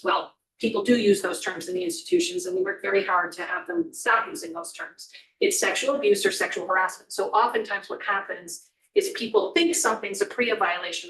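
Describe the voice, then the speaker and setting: A woman's voice: medium pitch, a bit bright, distant mic. A woman testifying before a committee, explaining in an earnest way with frequent ums. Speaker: corrections official testifying. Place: legislative hearing room. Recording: echoey hearing room, voice off-mic.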